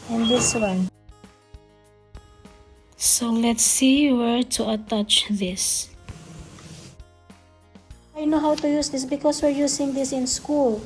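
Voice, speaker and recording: A woman vlogger with a slightly high voice walks through a product in a casual way. She takes it very slowly, explaining each step in simple words and repeating herself. Music plays clearly behind her. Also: mellow. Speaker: childcare educator. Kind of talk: casual unboxing and demonstration. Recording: background music.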